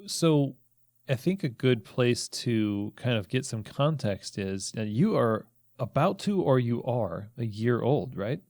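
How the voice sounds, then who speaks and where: A man speaking as a podcast host in a conversational interview, with a measured, halting, thoughtful delivery. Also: mid-range voice, lively intonation, a little mellow, dry recording, clean studio sound.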